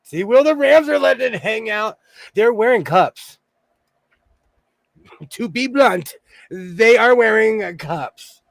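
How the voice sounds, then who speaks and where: A man in his forties hosting a casual sewing livestream, hoarse and losing his voice, joking and laughing often as he reads the chat. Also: high voice, lively intonation, slow pace, long pauses, no room sound.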